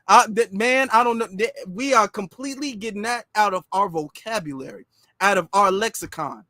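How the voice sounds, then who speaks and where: A man from the United States hosting a commentary monologue, sarcastic and mocking, stammering and repeating words as he swings between loud and quiet. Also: sits high, no room sound. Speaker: commentary channel host.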